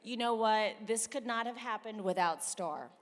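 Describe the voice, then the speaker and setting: A high-pitched woman emcees a gala, loud and lively as she tells stories to the crowd. She speaks into a handheld mic, and her voice rings out through a large hall with a long reverb. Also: normal speed. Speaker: event co-host. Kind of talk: live emcee banter at a gala. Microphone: handheld microphone.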